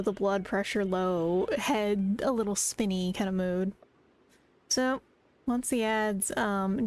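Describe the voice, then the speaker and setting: A woman streamer chatting casually to her viewers in a rambling, talkative way. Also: mid-range voice. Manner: rambling, chatty, talks to chat. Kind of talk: casual live-stream chat.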